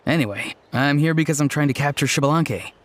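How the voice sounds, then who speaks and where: A man's voice: fairly high pitch, fast talker, slight background noise, lightly acted. An actor playing an earnest, easygoing young tribesman in a video game, explaining things plainly. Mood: amused, explaining. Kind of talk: video game character acting.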